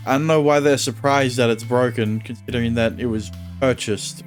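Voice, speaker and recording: Guy streamer reading game text out loud and chatting casually, faint music behind. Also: mid-range voice, normal speed. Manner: casual, reads narration in a steady tone then chats offhand. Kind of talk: reading a visual novel aloud with commentary.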